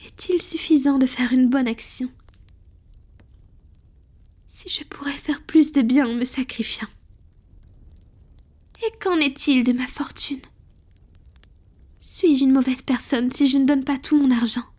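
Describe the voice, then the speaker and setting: Casting: tender, morally torn vampire lady played by a woman, high breathy voice, whispered intimate ASMR roleplay delivery. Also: a little mellow. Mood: troubled, reflective. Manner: slow, intimate, hesitant. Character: tender, conflicted wealthy female vampire confiding in her beloved.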